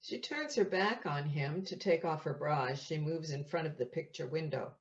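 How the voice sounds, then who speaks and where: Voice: mid-range voice, lively intonation, normal speed, dull audio. A narrator reading out scripted stage directions for an audio play in a plain, descriptive way.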